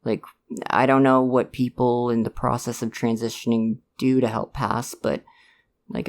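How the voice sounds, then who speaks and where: A man playing a supportive, gently protective dad in an ASMR roleplay, speaking in a hesitant, earnest, reassuring way to the listener. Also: medium pitch, natural voice. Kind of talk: ASMR roleplay, one-sided conversation with listener.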